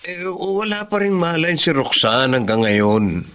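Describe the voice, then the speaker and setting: A man's voice: on the high side, sing-song, measured pace, fairly bright tone. A man playing a concerned, forthright acquaintance in a Filipino radio drama, direct and conversational. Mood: serious. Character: concerned, forthright male acquaintance bearing news.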